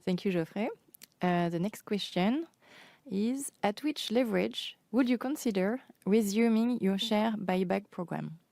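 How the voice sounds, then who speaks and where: A woman's voice: mid-range voice, unhurried, creaky, warm tone, no echo. A woman moderating an earnings call, formally reading out investor questions.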